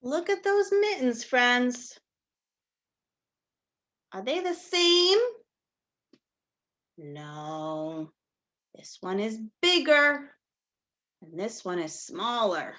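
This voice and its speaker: A woman's voice: a little high, extremely slow, pauses often, muffled recording. A woman reading a picture book aloud to young children like a teacher, expressively, with questions and asides to her listeners. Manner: expressive, addresses children as friends, asks questions, gasps for effect.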